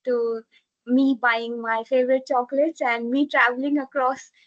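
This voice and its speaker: A woman giving an earnest impromptu speech over a video call, steady with some fillers. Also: high voice, moderate pace, dull audio.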